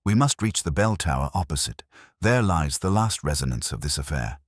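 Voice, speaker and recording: Guy doing a cool sharp detective for an audio story, super low voice, precise n dramatic, swingy intonation. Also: sing-song, normal speed, no room sound, clean studio sound, full character voice. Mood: resolute. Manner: precise, declarative, theatrical. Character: keen, commanding male detective, coolly triumphant.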